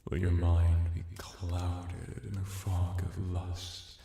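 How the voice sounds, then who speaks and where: A man voicing a seductive, domineering vampire hypnotist in an ASMR roleplay, teasing and commanding in hushed tones that often drop to a whisper. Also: low voice, completely flat delivery, takes it slowly, gravelly, exaggerated voice. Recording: very echoey, distant-sounding voice.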